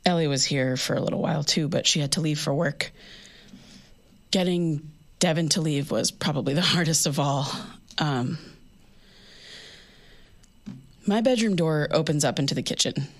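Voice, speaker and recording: Low-voiced, hesitant young woman. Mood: weary, recounting. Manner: halting, hesitant, rambling. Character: anxious, sleepless young woman recording a personal audio diary. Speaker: lead actor in a fiction podcast. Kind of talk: naturalistic audio drama acting, recorded diary.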